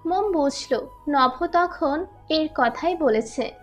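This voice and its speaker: A woman's voice: high-pitched, slow pace. A woman narrating a scripted romantic audio story. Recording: music under the voice.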